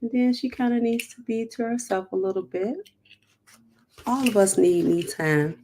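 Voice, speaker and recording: A woman's voice: on the high side, normal speed, warm tone, a little hiss or hum. A woman hosting a storytime, reading a picture book aloud with chatty, encouraging, emphatic asides.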